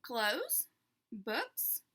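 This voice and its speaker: A woman's voice: on the high side, huge pitch swings, very slow, bright, forceful tone, breathy edge, heavily acted. A woman voicing a patient male schoolteacher in a children's storybook, explaining things in an emphatic, instructive way.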